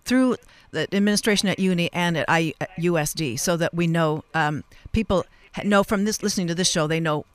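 A man's voice: on the high side, brisk, hardly any pauses, clear, resonant tone. A man hosting a radio panel, putting long, hesitant questions to his guests. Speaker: radio host. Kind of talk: moderating a panel interview.

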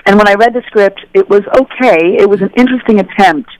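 A woman telling stories as an interview guest, animated and conversational, acting out the voices of people she quotes. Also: mid-range voice, fast, no room sound, rough recording. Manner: animated, acts out dialogue in voices. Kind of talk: casual conversational storytelling.

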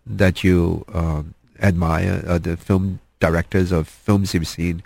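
A man hosting an interview, asking halting questions and often backing the guest with "yeah". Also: low voice, flat delivery, vocal fry, a little mellow, dry recording. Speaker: interview host. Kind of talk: casual interview conversation.

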